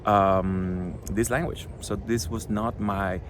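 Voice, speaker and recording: A middle-aged man from Cuba, a Spanish native speaker with a Cuban accent, talks earnestly to a school audience about his children's book, pausing often with fillers. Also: lowish voice, fairly even intonation, a little hiss or hum. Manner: earnest, halting, many fillers. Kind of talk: recorded address to a school audience.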